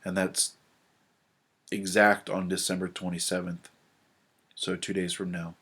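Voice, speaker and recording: A man with a deep, steady voice that barely rises or falls, presenting from his notes in a relaxed way. His nose is stuffed up from a cold, so every word comes out nasal. He stops and restarts often and fills the gaps with ums. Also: husky. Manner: halting, many ums and restarts. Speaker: astrology video presenter. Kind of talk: casual talk to camera, reading notes.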